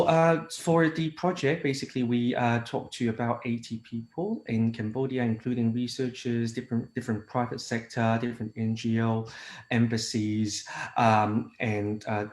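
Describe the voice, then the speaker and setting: A man's voice: fairly low pitch, darkish tone, reverberant. A man from Hong Kong who works as an international technical advisor, giving a conference presentation with many fillers and restarts. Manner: hesitant, frequent fillers and restarts.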